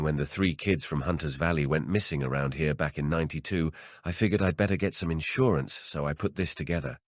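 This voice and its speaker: A man performs a gruff old country patriarch from a horror audiobook. He is ornery and paranoid, telling his tales with cranky emphasis. The sound is dry and close. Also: a bass voice, no echo. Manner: cranky, emphatic, swearing storyteller. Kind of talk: dramatic audiobook character voice.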